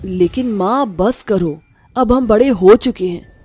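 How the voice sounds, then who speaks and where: A woman's voice: medium pitch, slow, darkish tone, husky, soft background music. A woman voicing the upset young daughter of a witch in a horror story, speaking in an earnest, pleading manner.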